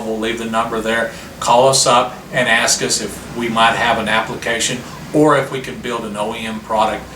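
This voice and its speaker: A man's voice: mid-range voice, sharp tone, slight background noise. A man giving a product demonstration as a specialist, explaining things in a plain-spoken way. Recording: roomy, distant mic with music behind. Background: music.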